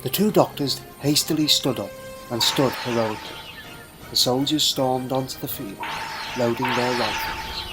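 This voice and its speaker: A man narrates an adventure story in a mid-range voice. The delivery is scripted and dramatic, in a storybook manner, with music clearly playing behind him. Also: mid-range voice, moderate pace, poor audio.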